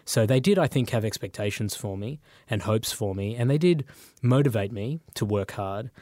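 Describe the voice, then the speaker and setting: A man speaks as an interview guest, his voice a touch low. He is reflective and unhurried, often pausing on um and uh as he thinks. The recording is close and dry, with no room sound. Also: a little mellow, dry recording. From Sri Lanka, raised in Australia. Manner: thoughtful, with frequent um and uh.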